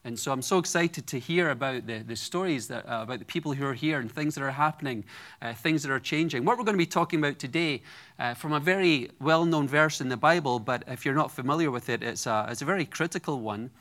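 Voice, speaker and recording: A man's voice: medium pitch, quick pace, fairly bright tone. A Scottish man in his forties preaching a sermon to a congregation, earnest and personal with light humor. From Scotland. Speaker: guest preacher.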